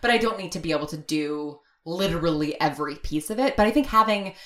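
A woman's voice: sits low, lively intonation, quick pace, fairly bright tone. A woman speaking as an interview guest, candid and opinionated, in a casual chatty style with lots of "like". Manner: candid, opinionated, frequent filler "like". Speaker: audio fiction writer and producer, interview guest.

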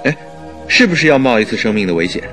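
A man acting a detective's eager, curious companion in a radio drama, inquisitive and quick to react. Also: medium pitch, very animated, a bit bright, faint music behind. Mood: eager, questioning.

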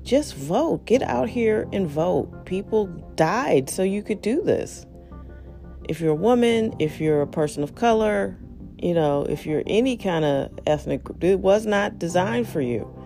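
A hoarse voice, worn down and close to giving out, rants in a blunt and exasperated way. The talk is an unscripted monologue addressed straight to the listener. Soft music runs quietly underneath. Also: medium pitch, expressive, a little slow. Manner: frustrated, blunt, ranting. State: hoarse, losing voice. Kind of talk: casual spoken monologue.

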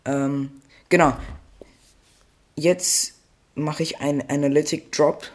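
A man's voice: fairly high pitch, steady tone, slow. Rambling teenage boy podcasting alone. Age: teens. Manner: rambling, playful, loses his thread; corrects himself out loud. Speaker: podcast host. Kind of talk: solo podcast chat.

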